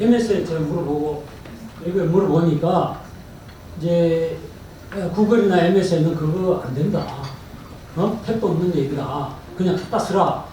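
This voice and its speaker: A man's voice: fairly high pitch, warm tone. A man in the audience asking a long, earnest question at a forum. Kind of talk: question from the floor. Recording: echoey hall, speaker far from mic, faint noise.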